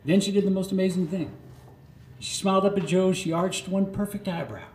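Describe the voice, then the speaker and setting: A man's voice: sits high, steady tone, a little mellow. Older american guy doing a theatrical memoir monologue, roomy live recording, bit of noise behind. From Florida, United States. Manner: nostalgic, theatrical storytelling with dramatic pauses. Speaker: storyteller. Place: live radio theatre performance.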